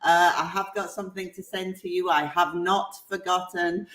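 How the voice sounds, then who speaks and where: A woman's voice: fairly low pitch, steady tone, moderate pace, a bit bright, roomy sound, low-quality recording. A woman hosting a live online hangout, greeting viewers by name and chatting with her guest over a video call. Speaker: host.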